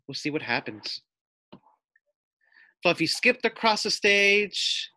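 A man's voice: sits high, big pitch swings, slow, bright, forceful tone. A man reading a children's storybook aloud as a teacher, expressively, with explanatory asides and questions to pupils.

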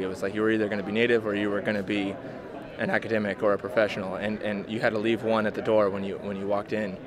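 Young man interviewee, lowish voice, quick talk, crowd chatter behind. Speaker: PhD candidate interviewee. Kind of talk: interview answers. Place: busy convention hall.

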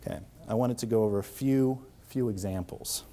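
A man's voice: fairly low pitch, brisk, warm tone, a little hiss or hum. A middle-aged man with a Philadelphia accent giving an academic seminar talk in a room with an audience, enthusiastic and rambling with many restarts and fillers. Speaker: visiting lecturer.

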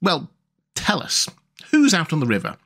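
Storybook river rat, played by a male reader: genial, gentlemanly, chummy, very lively intonation. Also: a little high, moderate pace, voice pushed up, full character voice. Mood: chatty, wry. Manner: chummy, old-fashioned gentlemanly phrasing. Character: eager, friendly river rat, a genial host. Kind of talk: storybook character voice.

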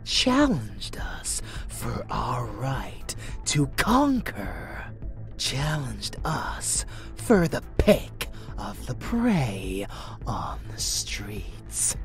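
A sneering vampire henchman played by a man, rebellious and unhinged. He drags his words out very slowly in a breathy drawl that swoops up and down, over faint music in a dark fantasy audio play. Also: mid-range voice, wildly swooping pitch, exaggerated voice. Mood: bitter, contemptuous. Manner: drawling, sneering, sudden swells. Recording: music bed under voice.

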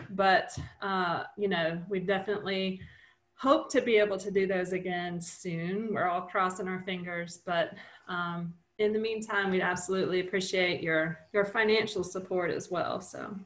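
A middle-aged woman presenting a nature webinar over a video call, relaxed and chatty, with many fillers and restarts. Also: on the low side, unhurried. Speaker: wildlife nonprofit director presenting a webinar. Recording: roomy video-call audio.

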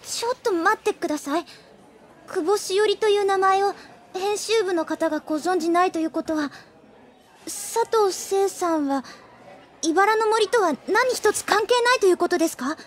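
An actress playing an eager, quick-witted schoolgirl who reasons earnestly in an anime audio drama. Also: very high-pitched. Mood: earnest deducing. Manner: earnest, excitable deduction.

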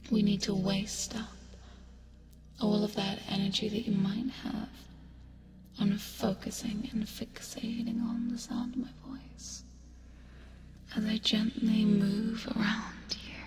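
A woman playing a teasing, creepy yet caring sleep paralysis demon in a breathy, soothing ASMR hypnosis roleplay, close to the microphone. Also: mid-range voice, flat delivery, natural voice. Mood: soothing, hypnotic. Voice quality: breathy, whispery. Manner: slow, soothing, coaxing with teasing asides. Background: music.

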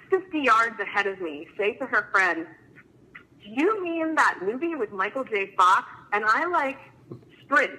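A woman's voice: mid-range voice, bright, forceful tone, poor audio. A woman fan calling in to chat casually and tell personal stories, nervous and gushing, peppering her talk with "like". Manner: nervous, gushing, filler-heavy "like". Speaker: fan calling in, emergency room nurse.

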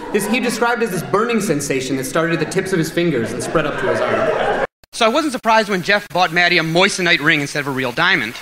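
A man in his thirties reading a humorous wedding toast from paper in a dry, deadpan way, in a large banquet hall. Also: on the high side, background noise. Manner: dry, deadpan delivery over audience laughter. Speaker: wedding toast giver, friend of the groom. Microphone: handheld mic held low. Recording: roomy hall from a distant camera with crowd. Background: wedding guests laughing.

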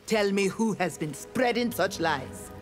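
A woman's voice: medium pitch, animated, unhurried, natural voice. A woman playing a defiant, honorable female ninja warrior in a video game, speaking firmly and earnestly. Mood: composed. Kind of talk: scripted video game dialogue. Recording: music behind the voice.

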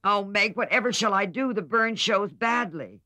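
A woman's voice: medium pitch, expressive, moderate pace, sharp tone, no room sound, pitched above the performer's own voice. A woman voicing a blunt, careless teenage tomboy sister in a storybook, offhand in manner. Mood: blunt, dismayed. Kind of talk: storybook character reading.

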